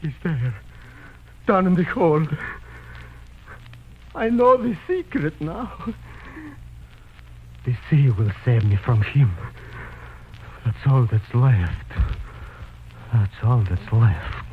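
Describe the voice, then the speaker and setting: An actor playing a terrified, half-mad ship's mate, speaking in feverish, halting bursts in 1930s radio drama style. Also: male voice, medium pitch, expressive. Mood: mad despair. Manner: feverish, halting, intense delivery. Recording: muffled, noisy old radio recording.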